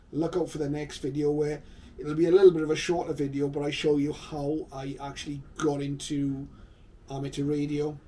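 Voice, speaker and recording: A man in his fifties narrating his memories to viewers in an unhurried, explanatory way, as a hobbyist vlogger. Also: a little high, mellow. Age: 50s. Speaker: vlogger, shortwave radio hobbyist. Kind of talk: reminiscing video narration to viewers. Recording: slightly distant mic, faint background noise.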